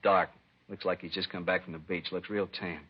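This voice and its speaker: Casting: sick, whining arrested addict for vintage radio crime drama, low and quick, slangy, defensive, cornered. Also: male voice, level tone, breathy edge. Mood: wary. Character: sick, evasive, pleading male narcotics addict under arrest. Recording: dull, lo-fi vintage radio recording.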